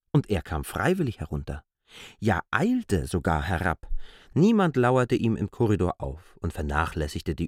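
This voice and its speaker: An actor playing an earnest, reflective man in a literary radio play, reasoning deliberately in long, argumentative sentences. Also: fairly low pitch, no echo, clean studio sound. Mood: insistent, reflective.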